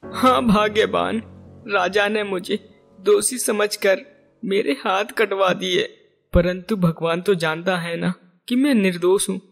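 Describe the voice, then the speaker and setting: A man's voice: high-pitched, fairly even intonation, slow pace, gravelly, fairly bright tone, heavily acted. A man voicing a wronged, pious priest in a cartoon story, with a plaintive, dramatic delivery. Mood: despairing. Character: wronged pious Brahmin priest, pleading innocence. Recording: music behind the voice.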